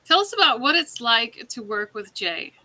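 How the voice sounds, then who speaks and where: Woman, talk show interviewer, fairly high pitch, very bright tone, conversational. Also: big pitch swings, normal speed.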